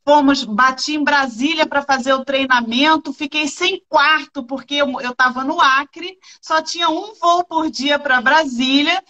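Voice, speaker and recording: High, bright woman telling a quick story. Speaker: host and instructor. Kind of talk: livestream chat.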